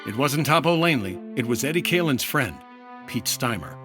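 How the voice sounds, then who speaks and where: Casting: male actor as earnest private investigator, animated yet dry, narrating his case like classic fifties radio drama. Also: medium pitch, moderate pace, loud music behind the voice, lightly acted. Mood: businesslike. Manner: clipped, dry detective narration. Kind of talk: 1950s radio detective acting.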